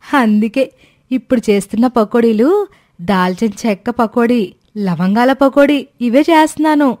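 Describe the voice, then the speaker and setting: A woman voicing a naive, cheerful, scatterbrained young daughter-in-law in an animated story, speaking eagerly and politely. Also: a little high, moderate pace, no echo, clean studio sound, close to an everyday voice. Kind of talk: Telugu animated moral story dialogue.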